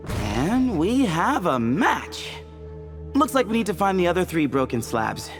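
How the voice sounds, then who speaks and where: A man's voice: high-pitched, sing-song, a little slow, music in the background, exaggerated voice. An actor playing an easygoing, wry young male adventurer in an English game dub. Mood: practical.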